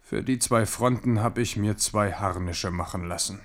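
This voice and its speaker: Male actor as wrathful careworn king, low voice, commanding, declamatory, ironic, radio play. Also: moderate pace, natural voice. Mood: defiant, resolute. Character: proud, wrathful, careworn French warrior king. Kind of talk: German radio play acting.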